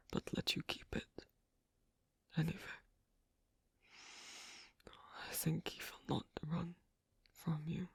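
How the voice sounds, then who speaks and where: A man playing a gentle, worried royal of a fantasy kingdom in an ASMR story roleplay, speaking in a breathy, husky whisper with halting, formal phrasing. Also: a little high, fairly even intonation, dry recording, full character voice. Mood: quietly amazed.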